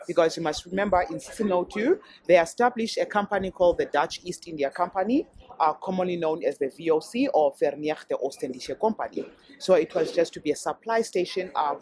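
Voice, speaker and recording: A man, a guide answering interview questions with many ums and restarts. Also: fairly high pitch. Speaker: castle guide. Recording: noisy recording.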